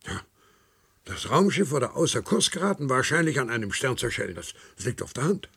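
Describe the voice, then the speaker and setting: Radio play role: very old worker, creaky husky voice, proud of duty, set in his ways, trips over words when pressed. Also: mid-range voice, sing-song, a little fast, fairly bright tone, full character voice. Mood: earnest, assured. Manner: stammers and repeats words when agitated.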